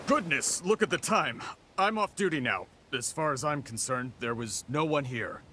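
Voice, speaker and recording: A man's voice: mid-range voice, animated, normal speed, bright, forceful tone, slight background noise. A man playing a charismatic, grandiose visionary leader in a video game cutscene, with an eloquent, self-assured, theatrical delivery. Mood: dry, dismissive. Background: music.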